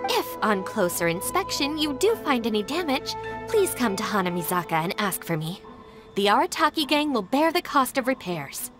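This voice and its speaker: Stern young gang deputy, highish voice, formal lecturing delivery, lively intonation, game music behind. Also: female voice, moderate pace. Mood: apologetic, composed. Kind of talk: video game English dub acting.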